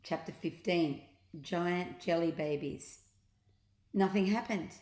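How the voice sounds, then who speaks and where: A woman reading a children's storybook aloud as an expressive narrator, with deliberate dramatic emphasis. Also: on the low side, slight background noise. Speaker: storybook reader. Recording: echoey room.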